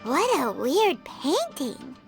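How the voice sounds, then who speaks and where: A tiny, timid fairy companion from a video game, with an extremely high childlike voice. The delivery is slow and swings up and down in an excitable, whiny way, over prominent music. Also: female voice, exaggerated voice. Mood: curious. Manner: speaks of herself in third person.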